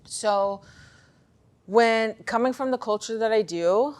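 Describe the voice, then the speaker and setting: A woman speaking casually in a motivational talk, telling personal stories in an animated, conversational way. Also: on the high side, slow pace. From Pakistan, of Afghan heritage. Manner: conversational, animated storytelling, acting out bits of dialogue. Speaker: speaker giving a conference talk on beliefs and performance.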